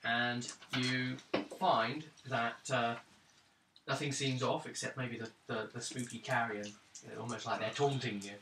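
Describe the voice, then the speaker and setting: A man running a tabletop role-playing game as game master, describing scenes and calling for rolls in halting phrases. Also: medium pitch, steady tone. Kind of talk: improvised tabletop game narration and refereeing. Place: indoors around a gaming table. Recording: roomy, distant tabletop recording with background noise.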